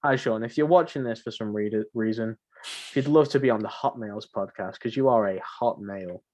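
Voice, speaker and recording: A man's voice: lowish voice, normal speed, a little mellow, a little hiss or hum. A man co-hosting a podcast in unscripted casual chat, rambling along in a slangy, jokey way. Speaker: podcast co-host.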